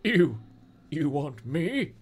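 A man's voice: fairly high pitch, very animated, extremely slow, husky, pitched above the performer's own voice, exaggerated voice. A man voicing a frightened teenage boy in a story, stammering and pleading.

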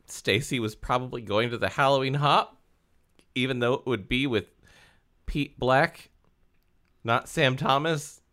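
A man's voice: mid-range voice, pushed and bright. A man on a podcast, reading a book aloud and joking casually with frequent interjections. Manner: jokey, quick to interject.

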